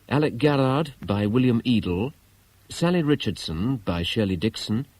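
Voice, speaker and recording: A man's voice: mid-range voice, darkish tone, low-quality recording. A British man announcing formally and precisely, reading scripted credits as a radio announcer.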